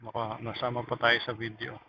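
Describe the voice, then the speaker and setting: Young Filipino man, mid-pitched voice, casual motovlog chat, slangy rambling, muffled intercom mic, faint road noise. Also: completely flat delivery, fairly quick, vocal fry. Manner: rambling, slangy, thinking aloud. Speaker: motorcycle vlogger. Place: outdoors on a motorcycle in traffic. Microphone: helmet intercom microphone.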